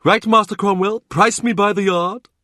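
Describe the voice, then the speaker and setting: A portly, jovial aging cardinal speaks grandly and expansively, in a voice lifted high and bright, as a man acts him out. He sounds like a man used to commanding a room. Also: fairly even intonation, moderate pace, no room sound, clean studio sound. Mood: boisterous.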